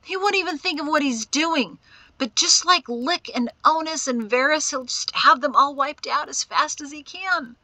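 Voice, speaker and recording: Woman as hot-headed imprisoned young man, audiobook character, raised pitch, forceful bright tone, sarcastic, agitated. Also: animated, measured pace, no echo, rough recording. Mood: anguished, furious. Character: bitter, hot-tempered young man held prisoner in chains.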